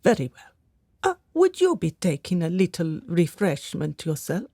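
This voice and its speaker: A woman's voice: fairly low pitch, very animated, moderate pace, mellow, husky, no room sound, clean studio sound, exaggerated voice. An actress playing a pious, devoted lady's maid in a radio drama, fretful and deferential. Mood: fussing, soothing.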